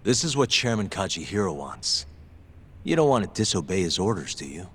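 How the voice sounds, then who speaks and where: A man's voice: low voice, sing-song, a little fast, a bit bright, breathy edge, exaggerated voice. An actor playing a tough, cool and sardonic investigator in a video game cutscene, confident and taunting as he presses for answers. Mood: firm. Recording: dramatic music behind the voice. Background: background music.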